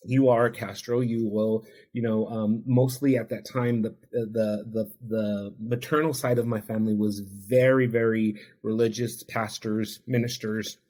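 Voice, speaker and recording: A man's voice: on the low side, flat delivery, unhurried, few pauses, darkish tone. A man whose first language is Spanish, an addiction counselor telling his own story as a podcast guest in an earnest, heartfelt, reflective way. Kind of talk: podcast interview, personal storytelling.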